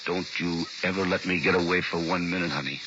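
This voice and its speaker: A man's voice: deep voice, steady tone, quick pace, close to an everyday voice. A man playing a guarded ex-convict turned informer in a 1940s radio drama, with clipped, streetwise talk. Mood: tender. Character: ex-con turned informer, tense young family man. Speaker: lead actor in a radio drama. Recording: old 1940s radio broadcast, hissy and dull.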